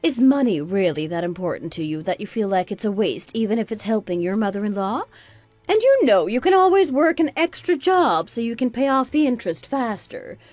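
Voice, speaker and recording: Woman acting a spiteful, animated mother-in-law in her sixties. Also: a little high, dry recording. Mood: scolding, contemptuous. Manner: berating, condescending, dramatic exclamations. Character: spiteful, self-righteous 62-year-old mother-in-law, scolding. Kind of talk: scripted radio drama dialogue.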